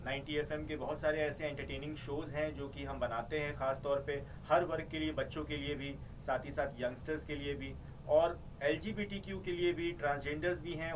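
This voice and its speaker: A man answers interview questions in a polite, explanatory way, addressing the interviewer as sir. His voice sits a little high. He sounds distant from the mic, with clear background noise. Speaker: radio programming head.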